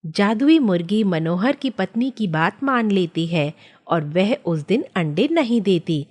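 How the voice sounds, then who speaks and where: A woman's voice: medium pitch, expressive, measured pace, a little mellow. A woman narrating a scripted children's story as the storyteller.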